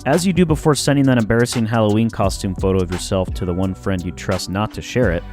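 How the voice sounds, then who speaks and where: Low-voiced man narrating over music. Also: darkish tone. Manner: informal, jokey, slangy. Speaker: aviation explainer video narrator. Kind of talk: scripted instructional narration. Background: background music.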